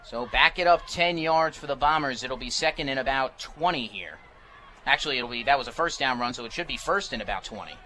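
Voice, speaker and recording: A man's voice: mid-range voice, lively intonation, fairly quick, sharp tone, poor audio. An American man calling live football play-by-play for radio in clipped, descriptive phrases. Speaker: play-by-play sports announcer. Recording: live broadcast with faint background noise.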